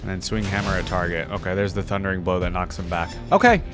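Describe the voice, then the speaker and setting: A man's voice: low-pitched, fairly even intonation, quick pace, music in the background. A man hosting a reaction video with casual, conversational commentary, thinking aloud and qualifying his opinions as he goes.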